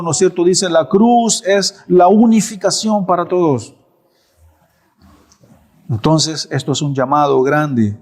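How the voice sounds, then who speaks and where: A man in his thirties preaching a sermon in a church, asking rhetorical questions and answering them emphatically. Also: on the high side. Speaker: pastor.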